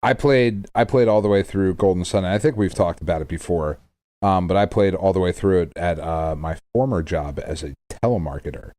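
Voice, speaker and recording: A man's voice: deep voice, fairly quick, a little mellow. A man co-hosting a podcast in a casual, conversational chat. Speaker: podcast co-host. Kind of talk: casual chat about video games.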